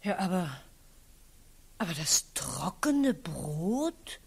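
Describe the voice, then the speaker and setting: A woman's voice: on the low side, sing-song, slow, gravelly, very noisy, exaggerated voice. An actress playing a frightened, flustered woman of a colonel's household in a 1940s German radio drama, exclaiming plaintively. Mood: dismayed.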